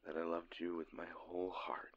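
A man performing an intimate audio roleplay as a lovesick, insecure boyfriend, halting and breathy with a gravelly voice, often sinking into whispers. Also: low voice, monotone, highs cut off, natural voice. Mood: sad devotion. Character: lovesick, insecure boyfriend longing for his distant partner. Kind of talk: scripted intimate romantic monologue.

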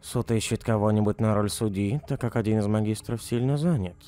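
A man's voice: lowish voice, fairly quick, mellow, lightly acted. Man as a courteous old animal colleague. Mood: polite. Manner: courteous, formal. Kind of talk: comic dub voice acting. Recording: faint music behind the voice.

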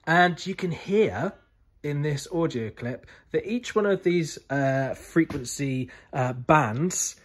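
A young man presenting an informal explainer to camera in a chatty, wry, animated way, with a husky voice. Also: fairly high pitch, takes it slowly, slight background noise. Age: young adult. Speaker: museum video presenter. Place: indoor museum rooms full of synthesizers.

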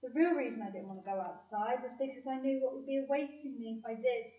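A woman's voice: fairly high pitch, fast talker, natural voice. A woman playing an imaginative, plucky, bookish girl in an audio play. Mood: earnest. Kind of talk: audio play narration and dialogue. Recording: echoey room, distant, dull sound.

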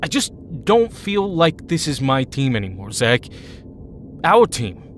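A man's voice: medium pitch, very animated, unhurried, slight background noise. Brooding young male hero voiced by a man. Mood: troubled, weary. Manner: moody, hesitant, curt. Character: brooding, wounded teenage male team leader. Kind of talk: audio drama acting.

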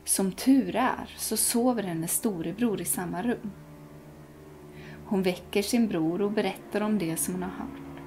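Young woman reading a hushed ghost story. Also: mid-range voice, slight background noise. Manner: hushed, dropping to whispers at tense moments. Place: cozy living room at night. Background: soft background music.

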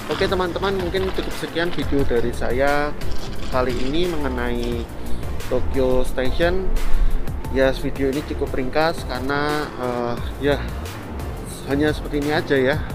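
A man's voice: mid-range voice, measured pace, pauses often, music in the background. A man in his thirties talking casually to viewers while walking, full of fillers and asides, recorded on a phone at arm's length outdoors. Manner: chatty, many fillers, keeps addressing viewers as friends. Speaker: vlogger. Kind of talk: casual walking tour vlog. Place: outdoors on a city street. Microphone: phone held at arm's length.

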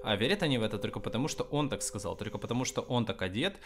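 A man's voice: on the low side, expressive, rapid-fire. A man giving an informal review commentary on animation. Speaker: video reviewer.

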